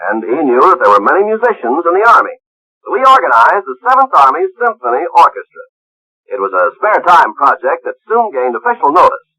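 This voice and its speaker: A man's voice: high-pitched, bright, forceful tone, rough recording. An American man reading a scripted mid-century radio announcement in an earnest, declamatory manner.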